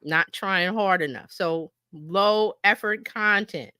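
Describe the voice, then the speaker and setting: Female coach for an informal live-stream lesson: bright, forward voice, animated and chatty, acts out examples, very dry sound. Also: mid-range voice, slow, clean tone, dry recording. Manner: conversational, animated, acts out imagined dialogue, checks in with listeners. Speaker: marketing coach presenting.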